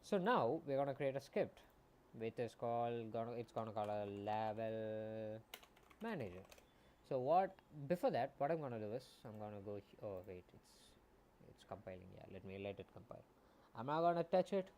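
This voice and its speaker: A man's voice: mid-range voice, expressive, normal speed, a little mellow. Young man thinking aloud while coding. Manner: rambling, thinking aloud, self-correcting. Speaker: game developer streamer. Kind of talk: casual live coding commentary. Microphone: desk mic.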